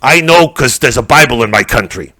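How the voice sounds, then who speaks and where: A man's voice: mid-range voice, lively intonation, fairly quick, sharp tone, no room sound. A man playing a brash, bigoted podcaster on a live comedy stage, ranting, rambling and swearing. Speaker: stand-up comedian. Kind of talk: stand-up character act.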